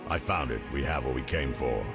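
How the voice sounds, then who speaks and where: An actor playing a cold villain leader in a fantasy game, curt and commanding. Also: male voice, a bass voice, a little fast, loud music behind the voice. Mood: cold, satisfied.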